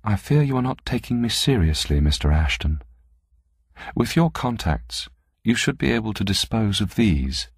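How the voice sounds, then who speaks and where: A man voicing a poised, imperious woman collector in an audiobook, calm and calculating, with formal, commanding speech. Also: fairly low pitch, normal speed, gravelly, breathy edge, no room sound, studio quality, pitched above the performer's own voice, exaggerated voice. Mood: coolly displeased.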